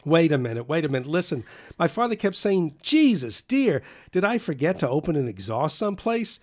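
A man reading an audiobook voices Carl, an excitable young man who gushes in rapid-fire exclamations. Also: a little high, voice pushed up. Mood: excited.

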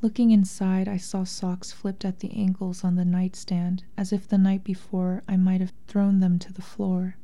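A woman author speaking softly and breathily in a thoughtful, measured, literary way. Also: medium pitch, little pitch movement. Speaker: interviewed author and translator. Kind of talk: reflective literary interview talk and reading aloud from a memoir.

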